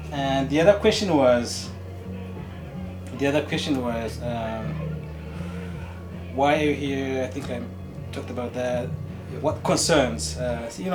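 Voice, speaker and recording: A man's voice: mid-range voice, low-quality recording. Rwandan-accented man speaking over music. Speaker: community meeting participant, city employee. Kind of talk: personal testimony at a meeting.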